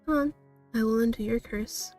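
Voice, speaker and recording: Earnest young spell-caster heroine, read by a woman, higher-voiced. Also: moderate pace, a little mellow, recorded from a distance, soft background music, close to an everyday voice. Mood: coaxing, determined.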